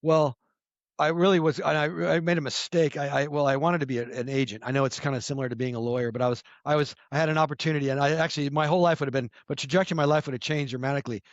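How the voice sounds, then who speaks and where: A raspy-voiced man in his fifties, a podcast guest telling rambling stories with lots of restarts and self-corrections. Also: on the high side, fairly even intonation, rapid-fire, dry recording, muffled recording. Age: 50s. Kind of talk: casual storytelling chat.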